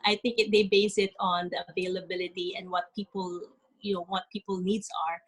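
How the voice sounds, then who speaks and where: A woman speaks earnestly over a video call, her voice at an everyday middle pitch. She pauses often with filler sounds as she explains her experience. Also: flat delivery, husky, rough recording. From Philippines. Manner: earnest, halting with many ums. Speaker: guest speaker. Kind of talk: webinar presentation.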